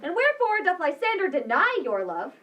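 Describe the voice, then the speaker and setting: Woman acting high-voiced, indignant young woman of Shakespeare. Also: huge pitch swings, brisk, fairly bright tone, far from the mic, heavily acted. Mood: hurt, bitter. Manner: declamatory, accusing, archaic verse. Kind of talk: amateur Shakespeare read-through.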